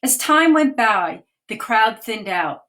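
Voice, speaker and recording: A woman with a slightly raised voice reads a children's picture book aloud over a live video stream. She paces each line gently and clearly, stopping now and then to point out what the pictures show. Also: on the high side, animated, slow pace. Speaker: children's author reading aloud. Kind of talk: storybook reading aloud. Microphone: phone or webcam on a live video stream.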